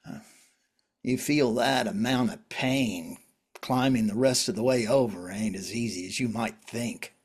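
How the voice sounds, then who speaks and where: Guy acting weary wry man in a drama, takes his time, hesitant phrasing, mid pitch. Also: husky. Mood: dry, matter-of-fact. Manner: halting, slow, wry. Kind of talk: dramatic scripted reading, conversational.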